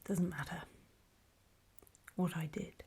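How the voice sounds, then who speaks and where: A woman's voice: fairly low pitch, exaggerated voice. Woman acting a whispering, hesitant apocalypse survivor. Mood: hesitant, subdued. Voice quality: soft, breathy whisper. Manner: hesitant, halting, self-conscious. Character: nervous, apologetic female zombie-apocalypse survivor recording a warning. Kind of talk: whispered ASMR horror roleplay monologue.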